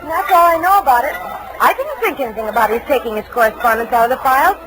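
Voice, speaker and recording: An actress playing a flustered, loyal office receptionist in a 1940s radio drama, expressive and breezily exasperated. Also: female voice, high-pitched, fast talker, crowd noise. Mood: earnest, defensive. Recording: noisy vintage radio broadcast.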